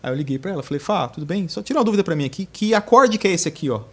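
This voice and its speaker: A man's voice: high-pitched, rapid-fire. A Brazilian man, the guest's husband, telling a story casually and acting out the quotes at a desk mic in a small studio. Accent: Brazilian Portuguese. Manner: lively, quotes others with animated voices.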